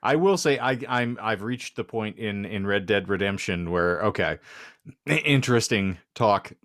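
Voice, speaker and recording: Guy on a comedy podcast, lowish voice, wry and wordy, really dry close recording. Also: animated, normal speed, a bit bright, no echo. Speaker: podcast co-host. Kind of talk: casual comedic podcast chat.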